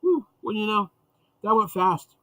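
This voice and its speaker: A man's voice: sits high, animated, normal speed, a bit bright, no room sound. A man hosting a casual livestream chat, rambling and chatty with frequent restarts, sounding congested and sniffly. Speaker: livestream host.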